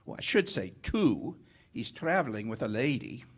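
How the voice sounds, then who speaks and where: Male actor as smooth, crooked police captain, 1940s radio drama. Unctuous, wry, very animated delivery. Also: medium pitch, moderate pace. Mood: sly, teasing. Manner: unctuous, wry, theatrically courteous. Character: suave, corrupt middle-aged police captain, smoothly charming. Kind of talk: 1940s radio theater acting. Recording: live stage show with music behind.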